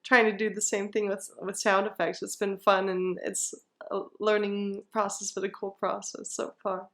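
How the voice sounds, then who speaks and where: Mid-pitched woman, casual musician guest. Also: little pitch movement, normal speed, fairly bright tone. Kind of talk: casual interview chat.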